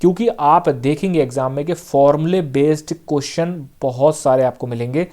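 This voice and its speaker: A young man with a fairly high voice coaches exam candidates in a relaxed, talky way. He repeats his main points and keeps checking in with his listeners. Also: moderate pace. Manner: direct, repetitive coaching, frequent "theek hai" check-ins. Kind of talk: casual exam-prep advice talk.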